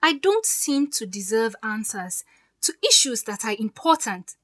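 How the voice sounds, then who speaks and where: A woman's voice: a little high, big pitch swings, a little slow, bright, forceful tone, full character voice. A woman acting in an audio drama as a poised, resolute fiancée who speaks with pointed, sarcastic politeness. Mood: hurt, resolute.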